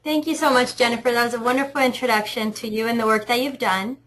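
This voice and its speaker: High-voiced woman moderating a webinar. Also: steady tone, quick pace, a bit bright. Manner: polite and courteous. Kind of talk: hosting a webinar.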